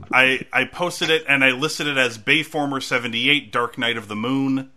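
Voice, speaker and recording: Male podcast host, very bright tone, laughing, stammering restarts, casual chat. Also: mid-range voice, steady tone, unhurried.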